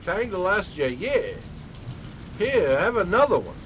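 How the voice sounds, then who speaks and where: Bloke doing a chuckly aussie pub mate, pretty high voice, easygoing. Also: male voice, sing-song, a little slow, a bit bright, slight background noise, heavily acted. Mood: easygoing banter. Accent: put-on Australian. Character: laid-back Aussie pub mate egging on a story. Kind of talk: comic radio drama.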